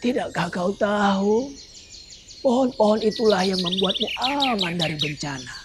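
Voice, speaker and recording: A woman voicing a calm, wise old grandfather who gives slow, solemn warnings. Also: medium pitch, heavy background noise, pitched above the performer's own voice, lightly acted.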